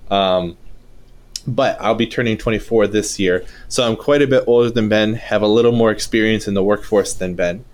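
A twenty-three-year-old man co-hosting a casual podcast, telling his story at a relaxed, steady pace with filler "uh"s. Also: on the low side, normal speed. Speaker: podcast co-host.

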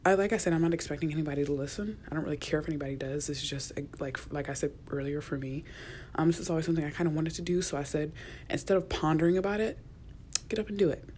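A young woman, a college student, rambling in a casual solo podcast, chatty and often dropping to a near whisper, recorded in a quiet university office. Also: sits low, rapid-fire, slight background noise. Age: young adult. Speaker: podcast host.

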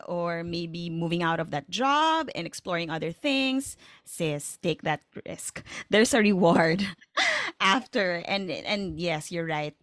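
A woman's voice: on the low side, a bit bright, no echo, studio quality. A woman with a Filipino accent hosting a podcast in a casual, conversational way, encouraging and affirming as she talks. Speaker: podcast host.

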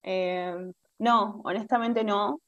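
A woman's voice: mid-range voice, fairly even intonation, slow, dry recording, dull audio. A woman from Argentina with an Argentine accent, a guest in a casual interview, talking hesitantly with many fillers and restarts. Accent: Argentine Spanish. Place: abroad, remote call.

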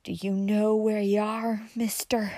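A woman voicing a rough, superstitious male moonshiner in an audiobook, with a drawl and hoarse whispering. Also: high voice, level tone, measured pace, warm tone, no echo, clean studio sound, pitched above the performer's own voice. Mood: awed, frightened. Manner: drawling backwoods dialect, hoarse frightened whispers. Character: rough old mountain moonshiner, superstitious and loyal.

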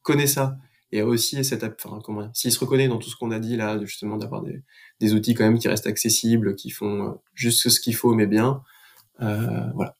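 A man's voice: lowish voice, little pitch movement, a little fast. A man, a podcast guest, explaining things in casual conversation. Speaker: podcast guest, business manager.

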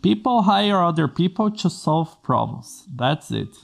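A man's voice: on the high side, very animated, unhurried, creaky. A man from Brazil, a non-native English speaker, giving earnest career advice to programmers in a vlog. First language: Portuguese. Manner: earnest, explains in plain steps with restarts. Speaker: coding school host.

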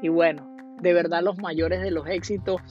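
A man's voice: high-pitched, steady tone, normal speed, darkish tone. A man hosts a casual podcast. His voice is upbeat and he often repeats phrases. The audio is dull and lo-fi, with faint music underneath. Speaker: podcast host. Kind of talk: podcast intro and interview.

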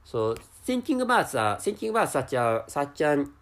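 A man with a Japanese accent, a native Japanese speaker, talking alone on his podcast in slow, halting English full of restarts and repeated words. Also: a little high, big pitch swings, fairly bright tone. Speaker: podcast host. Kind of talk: casual solo podcast monologue.

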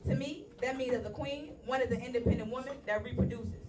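A woman's voice: on the high side, fast talker, a crowd in the background. A woman with a big voice co-hosting a live poetry show, playful and hyping the audience. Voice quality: big, loud voice. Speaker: event co-host and poet. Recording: distant mic in a crowded live room.

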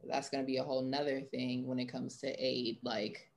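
A woman's voice: extremely low pitch, level tone, brisk. A young woman from Atlanta speaking casually as an interview guest, peppering her informal talk with "like". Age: young adult. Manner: informal, heavy use of "like". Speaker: interview guest, sports marketing professional.

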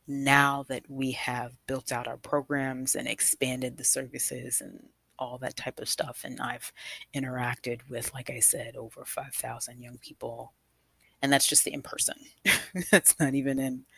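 A woman shares her own story as a featured storyteller, speaking slowly in a close, dry recording. Her voice is husky, and she talks in a reflective, emphatic way, addressing her listeners directly. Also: very deep voice, a bit bright, no room sound. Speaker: guest storyteller, nonprofit founder. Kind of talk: personal storytelling to an audience.

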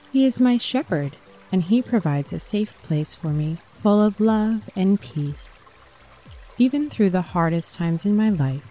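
A woman narrating a scripted guided sleep meditation for children in a gentle, calming, soothing manner. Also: lowish voice.

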